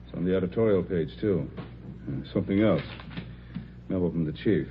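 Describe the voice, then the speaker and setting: A man plays an authoritative police boss with a deep voice. He is curt, in 1950s radio drama style. The recording is muffled and clearly noisy. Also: normal speed, natural voice. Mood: curt, displeased. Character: curt, authoritative male police chief of detectives.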